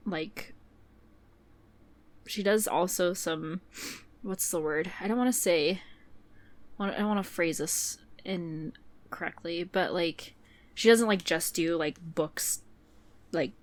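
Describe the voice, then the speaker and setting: A woman co-hosting a podcast in casual chat, sniffly with a runny nose, rambling through plenty of fillers. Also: on the low side. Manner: chatty, rambling with many fillers. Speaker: podcast co-host.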